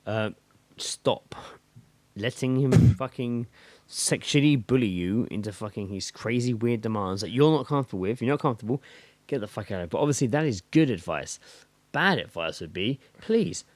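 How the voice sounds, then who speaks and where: A man's voice: mid-range voice, lively intonation, moderate pace, darkish tone, no room sound. A man co-hosting a comedy podcast, drunk, teasing and swearing in casual banter. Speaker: podcast co-host.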